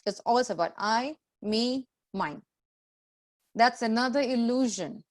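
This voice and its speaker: A woman's voice: a little high, unhurried, darkish tone. A woman teaching a scripture class to a group, explaining in a didactic way with repeated phrases and rhetorical questions.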